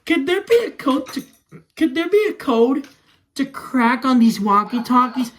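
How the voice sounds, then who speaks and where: Young man with lively pitch, vlogging. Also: a little slow. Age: young adult. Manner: narrates own actions while handling toys. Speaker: toy video creator. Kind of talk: casual hands-on demonstration vlog. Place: small room at home. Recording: noisy home recording with clicks.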